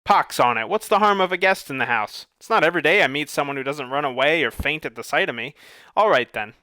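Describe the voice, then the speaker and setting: A man voicing a gruff, touchy male monster with a bear's head, roaring and blustering, raising his pitch. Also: animated, quick pace, pushed and bright, dry recording. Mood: grudging.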